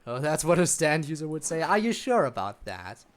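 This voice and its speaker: A man's voice: on the high side, very animated, normal speed. A man giving casual live gameplay commentary, rambling and musing aloud to viewers. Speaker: game streamer.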